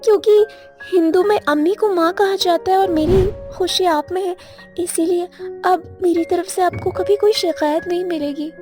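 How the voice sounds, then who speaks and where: A woman's voice: extremely high pitch, fairly even intonation, normal speed. A woman voicing an eager young bride. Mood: earnest. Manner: pleading, eager. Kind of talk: animated moral story dubbing. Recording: music bed behind voice.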